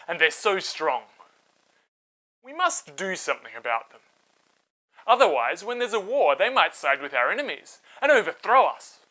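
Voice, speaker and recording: Man acting out a loud, commanding Egyptian king. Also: high-pitched, heavily acted, poor audio. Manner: imperious, slow emphatic decrees. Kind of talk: storytelling for children.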